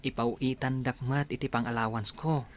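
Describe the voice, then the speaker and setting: A man's voice: medium pitch, fairly even intonation, normal speed, close to an everyday voice. Humble young new doctor voiced by a man. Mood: humble, grateful. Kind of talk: Ilocano radio drama acting.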